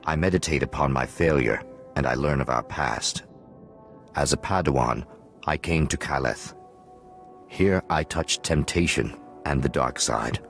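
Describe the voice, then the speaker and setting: An actor playing a solemn, penitent hermit watchman in a video game, speaking in a measured, formal, philosophical way. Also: rumbling low, vocal fry, a bit bright, soft background music, exaggerated voice. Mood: calm, grave. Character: solemn male hermit Jedi watchman, calm, penitent, cryptic.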